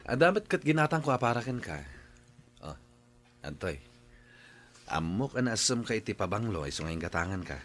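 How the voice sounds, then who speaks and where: A man's voice: on the low side, sing-song, normal speed, pauses often, a bit bright. A man playing an easygoing working husband in an Ilocano radio drama, speaking in a familiar, conversational way with an Ilocano accent.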